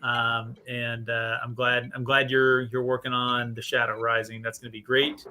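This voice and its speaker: Livestream host, man, bright tone, upbeat, chuckly donation reader. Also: mid-range voice, level tone, normal speed, a little hiss or hum. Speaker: host reading super chats. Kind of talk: casual livestream chat.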